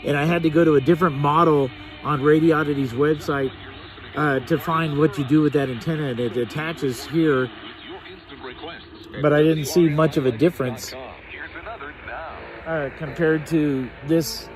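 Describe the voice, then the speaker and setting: A man's voice: on the high side, steady tone, normal speed, long pauses, music in the background, rough recording. A man giving a casual, hands-on gadget demo, unscripted and musing aloud as he tinkers. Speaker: vlogger reviewing a radio.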